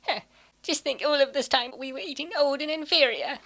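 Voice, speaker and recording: A woman as breezy college girl, high voice, food-loving, playful, comic-dub acting. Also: fairly quick, pushed and bright.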